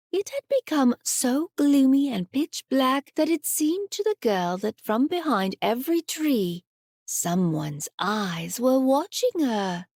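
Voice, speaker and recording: A woman reads a children's story with a fairly high voice and lots of melodic movement. She takes her time, and the recording is dry and close. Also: a little mellow, no room sound. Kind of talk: expressive scripted children's story narration.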